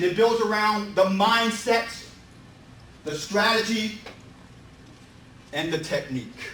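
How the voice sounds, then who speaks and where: A man's voice: high voice, sharp tone. A man giving a motivational seminar presentation in an emphatic, repetitive way, full of rhetorical questions. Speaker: real estate seminar presenter. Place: indoor meetup presentation room. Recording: distant, echoey live room with background noise.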